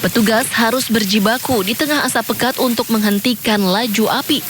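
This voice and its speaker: Female reporter over loud noise. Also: fairly high pitch, a little fast. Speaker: news reporter. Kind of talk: scripted news report voice-over.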